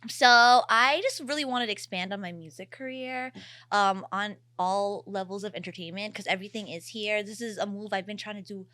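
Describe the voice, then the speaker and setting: A woman's voice: mid-range voice, fairly quick, sharp tone, dry recording. A woman, chatting as a podcast guest in a brash, bubbly, slang-heavy way. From New York, Queens. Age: 30s. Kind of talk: casual chat. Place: podcast studio.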